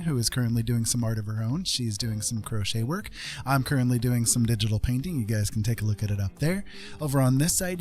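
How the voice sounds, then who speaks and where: A man's voice: mid-range voice, darkish tone. A man hosting a live art stream in a welcoming, chatty way, talking casually to viewers. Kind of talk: casual livestream chat. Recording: faint music behind.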